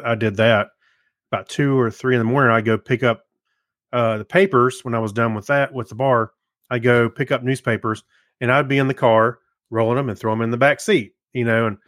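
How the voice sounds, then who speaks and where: A middle-aged man talks casually as a podcast co-host, telling stories in a conversational way. His pitch sits in the middle, and the sound is dry and free of room echo. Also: fairly bright tone. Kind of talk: casual chat with storytelling.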